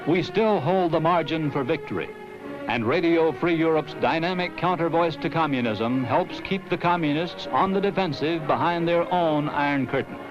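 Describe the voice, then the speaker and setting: An American man narrating a 1960s promotional film in a measured, solemn, emphatic way. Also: fairly high pitch. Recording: dull vintage film soundtrack. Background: music.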